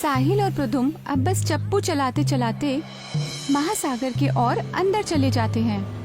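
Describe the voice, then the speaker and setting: Casting: female storyteller, high voice, scripted narration over background music. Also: animated, unhurried.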